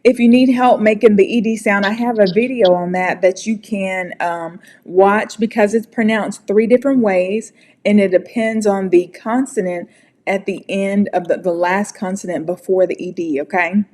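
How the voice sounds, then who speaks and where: A woman's voice: mid-range voice, steady tone, a little mellow. An American woman teaching English pronunciation in a casual online lesson, friendly and encouraging. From United States. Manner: friendly, encouraging, slow and deliberate.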